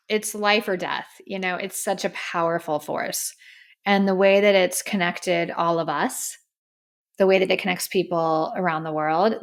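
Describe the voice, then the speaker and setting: A woman hosting a solo podcast, a little hoarse, talking in an earnest, effusive, rambling way into a microphone. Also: lowish voice. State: slightly hoarse. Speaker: podcast host. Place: in front of a camera. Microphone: studio microphone.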